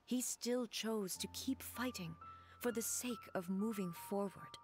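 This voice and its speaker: A woman voicing a composed, regal ruler-god in a video game, measured and solemn. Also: mid-range voice, soft background music, lightly acted.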